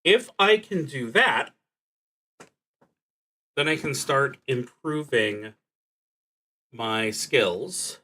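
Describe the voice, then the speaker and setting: A man streaming a solo tabletop game, thinking aloud in a halting, deliberate way and often trailing off. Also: on the low side, pushed and bright, poor audio. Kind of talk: thinking aloud while playing a solo card and dice game.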